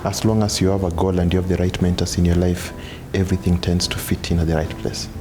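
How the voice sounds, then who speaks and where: A man's voice: low-pitched, level tone, quick pace, over music. A man, apparently in his thirties, speaking about himself in a profile interview. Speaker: interviewee, banker.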